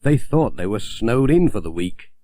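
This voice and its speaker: A man narrating a children's story as an audiobook reader, in a gentle, expressive storytelling manner. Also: medium pitch, moderate pace, no room sound.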